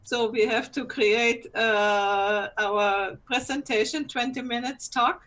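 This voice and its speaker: A woman's voice: a little high, fairly even intonation, takes it slowly, a bit bright. Woman speaking English as a second language. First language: not English. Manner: hesitant, restarts phrases while searching for words. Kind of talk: casual discussion comment.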